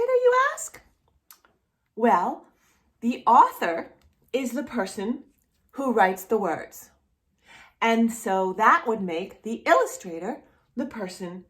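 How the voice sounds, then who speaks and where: A woman hosting a read-aloud storytime for young children, speaking in an animated way as if straight to the child listening. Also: fairly high pitch. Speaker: children's storytime host reading a picture book aloud.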